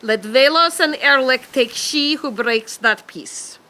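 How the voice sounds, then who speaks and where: A woman playing an ancient, menacing and haughty witch in an audio drama, speaking in formal, archaic, deliberate phrases. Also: high-pitched, sharp tone, full character voice. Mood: amused, threatening. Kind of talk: audio fiction acting.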